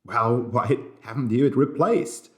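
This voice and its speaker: A man's voice: medium pitch, a little slow, close to an everyday voice. A man voicing a panicky, demanding train passenger in an audiobook, stammering and urgent. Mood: panicked.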